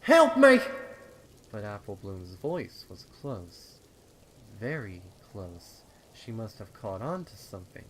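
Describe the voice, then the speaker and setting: A man narrating a horror story dramatically, with deliberate, suspenseful phrasing. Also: fairly low pitch, expressive, slight background noise, rough recording. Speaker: fan fiction narrator.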